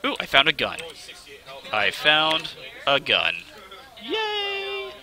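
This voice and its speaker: Excitable man streaming a game. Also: on the high side, slow pace. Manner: excitable, talks to himself while playing. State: has a slight cold, sniffling. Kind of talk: live let's play commentary. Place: game studio event.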